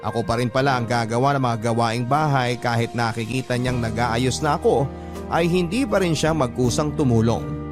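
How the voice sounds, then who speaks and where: A man narrating a radio drama in the first person as a resigned, long-suffering partner, in measured storytelling. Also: mid-range voice, close to an everyday voice. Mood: resigned, reflective. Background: music.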